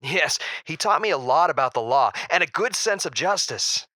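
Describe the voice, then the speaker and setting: Bright-voiced, hesitant male radio guest, acted by a man. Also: a little high, expressive, normal speed, no room sound. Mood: genial, modest. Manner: polite, hesitant, nervous chuckles. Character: middle-aged male actor, genial, modest, uneasy radio guest. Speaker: guest on a radio quiz show. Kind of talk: audio drama in radio show style.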